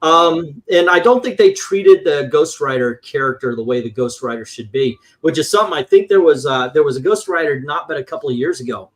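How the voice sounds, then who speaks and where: A man's voice: on the high side, expressive, brisk, hardly any pauses. A man reviewing comic books in casual, opinionated panel chat, rambling and swearing, acting out his exasperated reactions.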